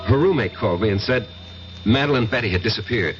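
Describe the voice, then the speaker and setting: An actor playing an anxious, defensive professor in a 1970s radio drama, hesitant and pleading yet insistent. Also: male voice, on the low side, animated, quick pace, over music, lightly acted. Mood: uneasy recounting. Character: anxious, defensive male professor accused of killing. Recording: muffled, noisy 1970s radio recording.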